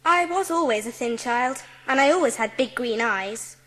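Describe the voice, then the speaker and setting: A woman's voice: fairly high pitch, animated, moderate pace, a bit bright. A woman voicing a brave, imaginative young schoolgirl with a composed manner in a dramatised audiobook. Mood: wistful.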